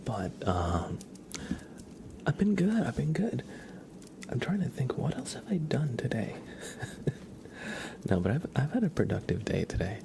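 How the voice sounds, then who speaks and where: A man hosting an ASMR livestream, chatting casually in a soft, breathy, often whispered voice with slow, halting, rambling delivery. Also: medium pitch, wildly swooping pitch. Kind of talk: ASMR, casual chat with viewers. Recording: clear background noise.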